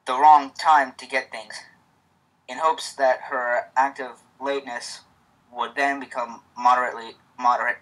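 A man's voice: medium pitch, fairly bright tone, low-quality recording. A man with a stutter hosting a casual reading of a fan story, with many restarts.

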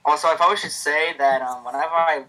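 A man's voice: on the high side, steady tone, fast talker, pushed and bright, poor audio. Man with a stutter, reading aloud. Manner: stutters, with restarts. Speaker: host reading a fan story aloud. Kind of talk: casual chat, then story reading.

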